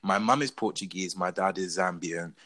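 A young Englishman with a low, deep voice and a bright, forceful edge to it, speaking earnestly in a casual conversation. He talks from the heart and stops and restarts mid-thought as he works through his own experiences. The sound is close and dry. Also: moderate pace, dry recording. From England. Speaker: discussion participant. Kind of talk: casual discussion.

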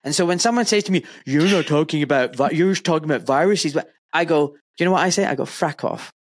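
A man with a Glaswegian accent, hosting a casual podcast conversation in an animated, emphatic way, with free swearing. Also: a little high, fast talker. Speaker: podcast host.

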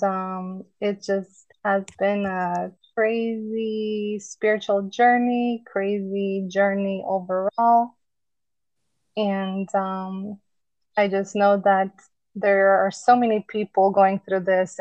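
A woman whose first language is Russian talks frankly and animatedly as a podcast guest, taking her time over each thought. Her voice sits at an ordinary pitch. The recording is dry and a little muffled. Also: no echo. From Latvia, raised in the United States. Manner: frank, animated and quick to laugh. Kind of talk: casual podcast chat.

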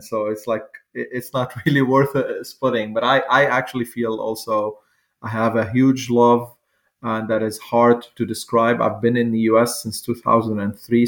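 A man's voice: medium pitch, a bit bright. Wry man in his forties. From Lebanon. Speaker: physician guest. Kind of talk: panel interview answer.

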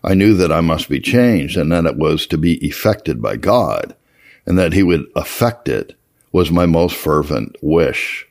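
Male narrator, very low voice with lively intonation, formal and solemn, for scripted readings of historical religious memoir. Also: unhurried, vocal fry. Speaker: audiobook-style reader of historical religious readings. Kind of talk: scripted narration of an old first-person memoir.

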